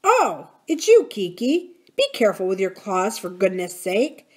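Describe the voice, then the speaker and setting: A woman voicing a kind young bird-loving boy in a storybook, gentle and eager. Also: medium pitch, normal speed, lightly acted. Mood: mild scolding. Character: kind young boy who loves birds.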